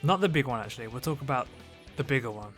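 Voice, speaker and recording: A man's voice: medium pitch, expressive, fast talker, fairly bright tone. A man hosting a podcast in casual chat, joking in a mock-earnest, sweary way. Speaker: podcast host. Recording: music playing behind the voice.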